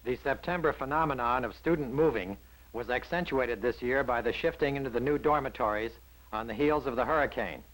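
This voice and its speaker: A man's voice: mid-range voice, brisk, fairly bright tone, low-quality recording. An American man reading scripted newsreel narration from around 1960 in a polished, wry manner.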